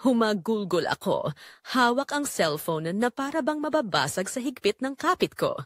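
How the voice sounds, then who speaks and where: A woman acts out a remorseful Filipina mother in a radio-style audio drama. Her mid-pitched voice rises and falls dramatically, anguished and confessional, in a close, dry recording. The accent is Filipino and the delivery is solemn storytelling. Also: no room sound. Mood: torn, fearful. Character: guilt-ridden Filipina mother and wife confessing her betrayal. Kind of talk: dramatic first-person audio drama narration.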